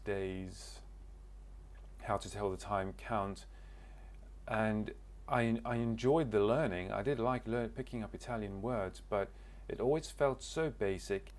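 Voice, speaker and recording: A man's voice: on the low side, takes it slowly, darkish tone. A man from Cornwall, introducing himself to camera in a casual, hesitant way, often stopping to search for words. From Cornwall, England. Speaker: language learner.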